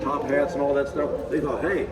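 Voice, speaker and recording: A man, hoarse from a cold, giving a folksy guided walking tour and repeating his key points. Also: medium pitch, level tone, fairly quick, a loud crowd. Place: outdoors at a racetrack with a tour group. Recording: distant voice, outdoor noise.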